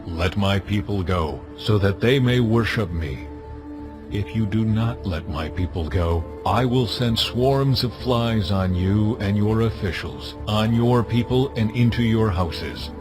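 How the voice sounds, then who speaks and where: An actor playing a commanding, all-powerful God in a dramatized audio Bible, speaking in slow, solemn, forceful pronouncements. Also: male voice, on the low side, over music, natural voice.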